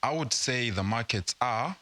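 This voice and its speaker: A young man from Zimbabwe, a guest entrepreneur, explaining at a slow, measured pace in a casual interview. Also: medium pitch, lively intonation, pushed and bright, no room sound. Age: young adult.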